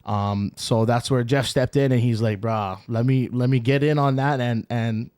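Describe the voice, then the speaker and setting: A man's voice: medium pitch, fairly even intonation, moderate pace, a little mellow, dry recording, clean studio sound. Hyped man hosting a podcast. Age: 30s. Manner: hyped, joking, slangy with lots of "bro". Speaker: podcast host. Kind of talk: casual podcast chat.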